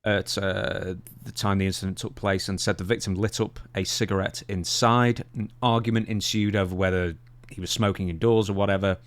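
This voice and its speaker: A man's voice: on the low side, steady tone, fairly quick. A man co-hosting a podcast, talking casually as he recaps news and puts questions to his partner.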